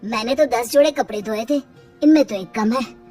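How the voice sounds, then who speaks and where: A woman's voice: a little high, normal speed, mellow, recorded from a distance, soft background music, natural voice. A woman voicing a weary, put-upon young washer boy in a cartoon, talking plaintively to himself. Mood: puzzled, worried.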